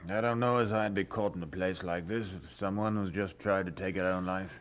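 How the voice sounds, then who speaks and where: An actor playing a matter-of-fact, folksy doctor in an audio drama, calm, blunt and mildly sympathetic. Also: male voice, low-pitched, a little fast, slight background noise, close to an everyday voice.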